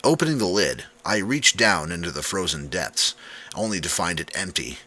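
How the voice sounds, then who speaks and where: A male narrator reads a scripted first-person story dramatically. His voice is low and very bright and forceful, with a lively, swinging intonation. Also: moderate pace. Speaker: audiobook narrator.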